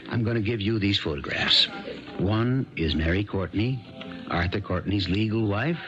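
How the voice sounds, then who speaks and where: Small-town sheriff, male actor: low, calm, doggedly probing, halting delivery. Vintage radio play, muffled, music underneath. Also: natural voice. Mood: dryly suspicious. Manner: hesitant, halting questions with ums and uhs. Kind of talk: 1970s radio drama acting. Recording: dull 1970s radio broadcast, music behind.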